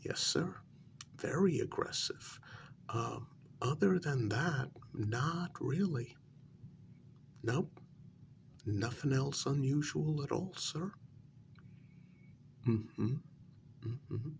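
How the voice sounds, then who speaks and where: A man playing a nervous, deferential night-shift road worker in a soft-spoken ASMR role-play, halting and polite as he gives his account. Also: mid-range voice, expressive, gravelly, slight background noise, heavily acted. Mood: uneasy, evasive, then subdued, explaining. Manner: halting, deferential, with frequent pauses and polite sirs. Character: deferential, nervous night road-crew workman, adult man, hesitant witness. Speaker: ASMR performer.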